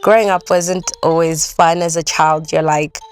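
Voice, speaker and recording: A woman from Malawi speaks in a low, steady voice with little rise and fall. She tells her story calmly and reflectively. Faint music sits behind her. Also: measured pace. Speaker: interviewee. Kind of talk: reflective personal account.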